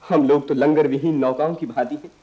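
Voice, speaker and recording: A man's voice: on the high side, fairly even intonation, brisk, muffled recording, natural voice. A male actor playing an impassioned, stubborn young idealist in a radio play, with earnest, oratorical delivery. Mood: fervent. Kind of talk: Hindi radio play acting.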